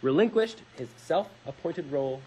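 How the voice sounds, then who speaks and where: A man in his twenties with a slightly husky voice, co-hosting a radio show, reading aloud and chatting. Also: fairly high pitch, expressive, moderate pace, dark tone, a little hiss or hum, poor audio.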